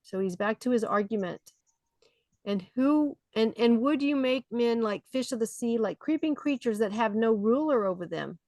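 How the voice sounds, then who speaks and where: Woman reading bible verses then chatting about them, higher voice, dry sound. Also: animated, moderate pace, a little mellow, no echo. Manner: conversational, with fillers between readings. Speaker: study group leader. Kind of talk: reading scripture aloud with commentary.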